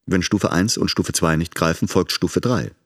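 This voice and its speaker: An actor playing a calculating, self-important man of influence, delivering a measured dramatic monologue. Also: low voice, breathy edge. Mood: controlled, calculating.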